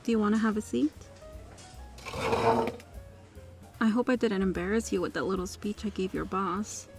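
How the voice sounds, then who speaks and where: A woman's voice: medium pitch, fast, music in the background, natural voice. A woman playing a kind, caring restaurant regular in an ASMR roleplay, soothing and reassuring. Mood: sympathetic.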